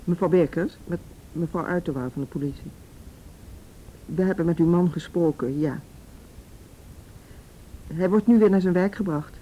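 An actress playing a calm, businesslike policewoman in a radio drama, courteous and composed, with a Netherlands Dutch accent. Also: moderate pace, long pauses, muffled recording, lightly acted. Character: calm, businesslike policewoman making phone calls. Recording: noisy radio drama recording, low rumble.

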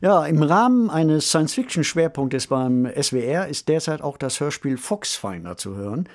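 Guy reading scripted podcast narration, voice a bit on the high side. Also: very animated, moderate pace, darkish tone. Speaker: podcast presenter.

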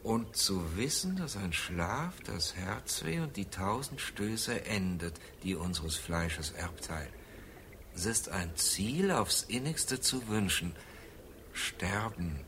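A man's voice: fairly low pitch, expressive, fairly bright tone, a little hiss or hum, heavily acted. An actor in a German radio drama playing a brooding, theatrical man who recites Hamlet in a declamatory manner. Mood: pensive. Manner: theatrical, declamatory, drawn-out phrasing.

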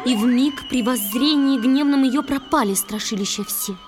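A woman narrator telling a fairy tale in expressive verse, as in an audio play for children. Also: high voice, normal speed. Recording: studio narration over soft music.

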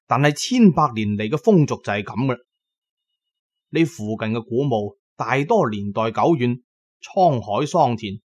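A man's voice: medium pitch, a little mellow. A man with a Cantonese accent narrating a radio drama in the first person as a storyteller.

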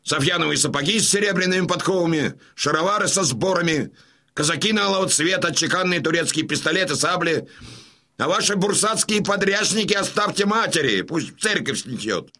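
Husky, commanding old Cossack colonel. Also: male voice, fairly high pitch, animated, a little fast, sharp tone. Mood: brisk, commanding. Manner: bluff, imperious, emphatic. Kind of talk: Russian audio drama acting.

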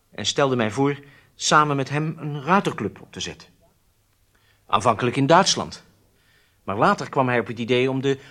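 An actor playing a weak-willed, cornered spy who confesses, hesitant and submissive, in a radio drama. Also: male voice, mid-range voice, fairly bright tone, natural voice.

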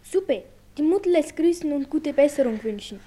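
A woman's voice: high voice, expressive, normal speed, warm tone. A woman playing a timid, polite little boy in a radio drama, shy, brief and obedient. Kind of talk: naturalistic German radio drama acting.

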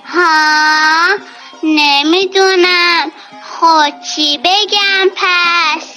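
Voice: very high-pitched, fairly even intonation, sharp tone. A performer playing a bold, chatty, self-admiring little girl in a radio drama, with naive childlike phrasing and Iranian Persian speech. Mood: cute, boastful. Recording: music bed behind the voice.

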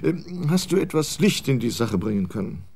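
A man's voice: mid-range voice, big pitch swings, normal speed, vocal fry, a little mellow. An actor playing an evasive, self-pitying middle-aged director who has lost his post, theatrical and dismissive, in a German radio drama.

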